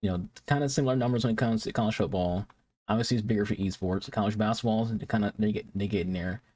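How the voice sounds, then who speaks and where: A man from Detroit hosts a casual reaction commentary, his low voice husky at the edges. He talks quickly and rambles, restarting sentences and repeating words as thoughts catch up. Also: a little mellow. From Detroit, United States. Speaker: reaction video host.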